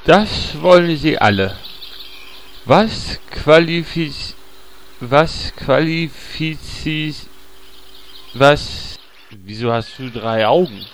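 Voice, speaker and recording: A man voicing a gruff, muddled old male druid trainer who rambles and loses his thread. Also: fairly high pitch, expressive, drawn-out words, long pauses, slight background noise.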